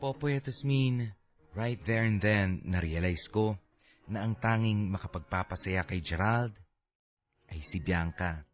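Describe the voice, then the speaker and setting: A man acting as a torn, heavy-hearted lover, narrating his story in first person for a radio drama in a reflective, measured way. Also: on the low side, highs cut off, natural voice.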